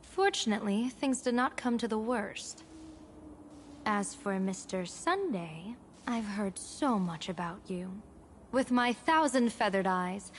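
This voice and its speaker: An actress playing an amused, enigmatic general and diviner in a video game, speaking in a composed, formal, theatrical way. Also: female voice, a little high, a little hiss or hum. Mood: poised, knowing. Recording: game music behind the voice.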